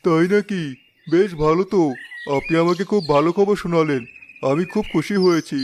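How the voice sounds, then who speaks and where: A man's voice: a little high, fairly quick, darkish tone, slight background noise, close to an everyday voice. A man playing a humble, poor widowed village father in a cartoon, speaking deferentially. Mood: eager, grateful. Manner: deferential, pleading. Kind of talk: Bengali cartoon voice acting.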